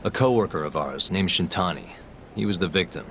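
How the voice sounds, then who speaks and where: World-weary detective; very low, forceful tone, few words. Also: male voice, fairly quick, a little hiss or hum. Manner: terse, laid-back, understated. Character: cool, terse male detective, world-weary. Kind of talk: game cutscene voice acting.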